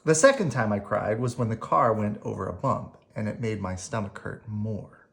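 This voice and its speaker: An adult reading a picture book aloud to children in an expressive storytelling style. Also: medium pitch, moderate pace, gravelly.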